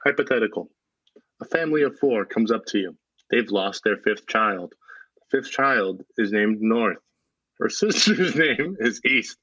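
A man playing a cocky, creepy company executive running a deadpan internship interview in an improvised comedy podcast. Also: lowish voice, measured pace, breathy edge, no room sound, lo-fi sound, heavily acted. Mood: deadpan, officious.

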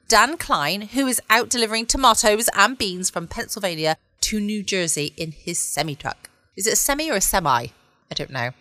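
A woman's voice: medium pitch, bright, forceful tone, dry recording. A woman hosting a bedtime podcast from home in an informal, rambling, chatty way. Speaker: podcast host. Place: at home, close dry recording.

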